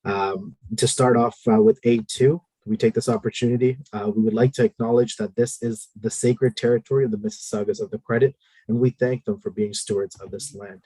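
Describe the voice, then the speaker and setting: Male meeting chair, low husky voice, steady procedural delivery with many fillers. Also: no room sound, rough recording. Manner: procedural, measured, frequent "uh" fillers. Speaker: committee chair.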